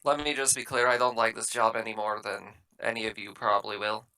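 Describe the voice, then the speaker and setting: A man playing a tabletop roleplaying game, explaining things in a halting, matter-of-fact way as he improvises in character. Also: lowish voice, fairly even intonation, bright, forceful tone, smooth, poor audio.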